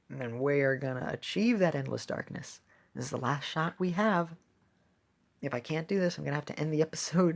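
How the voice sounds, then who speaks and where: A woman's voice: low-pitched, creaky, darkish tone. A woman giving casual, rambling gameplay commentary as a video host, with playful wordplay.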